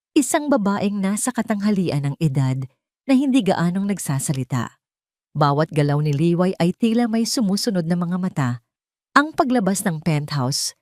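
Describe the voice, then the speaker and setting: Female narrator, lower-pitched, for suspenseful audio drama; deliberate dramatic storytelling. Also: a little mellow, dry recording, clean studio sound.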